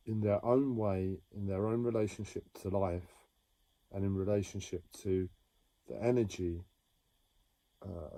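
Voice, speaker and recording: Low mellow-voiced guy talking slow and halting at home, dry close sound. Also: no room sound. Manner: halting, with frequent pauses and restarts. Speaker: livestreamer sharing a personal story. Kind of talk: slow reflective monologue.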